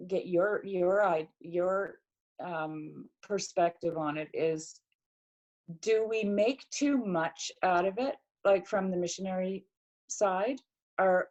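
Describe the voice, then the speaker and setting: A woman's voice: mid-range voice, a little mellow, muffled recording. A middle-aged woman from Canada in casual conversation, talking reflectively with many fillers and restarts. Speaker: podcast guest.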